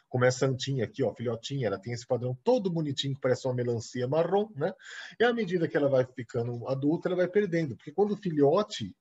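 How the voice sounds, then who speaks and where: A Brazilian man giving an online lecture over slides, halting with restarts and "né?" tags. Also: on the high side, darkish tone. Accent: Brazilian Portuguese. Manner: halting, many repeated words and restarts; explains with frequent "né?" tags. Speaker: guest lecturer. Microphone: video call. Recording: husky, dull lo-fi video call audio.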